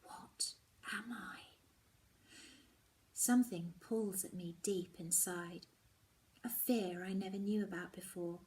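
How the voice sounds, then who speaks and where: A woman's voice: medium pitch, fairly even intonation, slow pace, long pauses, a little mellow. A woman narrating a first-person story expressively, with dramatic delivery that often drops toward a whisper. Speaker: story reader.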